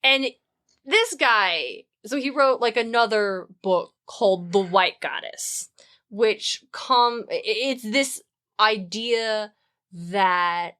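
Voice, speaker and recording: A woman's voice: mid-range voice, takes it slowly, bright, forceful tone. Wry, opinionated woman explaining casually. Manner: informal, wry, opinionated, with swearing. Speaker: podcast co-host. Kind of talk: casual, researched podcast explainer.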